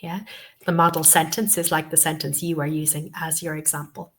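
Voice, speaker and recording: A woman's voice: deep voice, level tone, a little fast, a bit bright. A woman tutor with a cold and a sniffly voice gives a webinar lesson. She talks patiently, repeats her key points and often says "okay" and "um". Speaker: TEFL course tutor. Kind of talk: webinar instruction.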